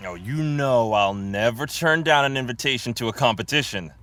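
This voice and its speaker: A man's voice: medium pitch, normal speed, fairly bright tone, slight background noise. A man trading playful banter as a contestant in a trivia game.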